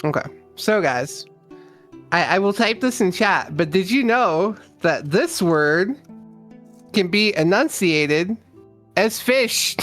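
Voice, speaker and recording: Stream guest, very slow drawl, joking, mid pitch, faint music behind. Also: animated, fairly bright tone. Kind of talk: casual stream chat.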